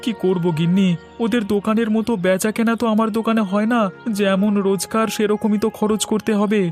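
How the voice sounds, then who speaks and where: A mild young village shopkeeper from a cartoon , acted by a man. His voice is high and he talks briskly, always placating and a little plaintive. Music runs underneath. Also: level tone, lightly acted. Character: mild, put-upon young village cloth-shop husband. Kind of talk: Bengali cartoon voice acting. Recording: music behind the voice.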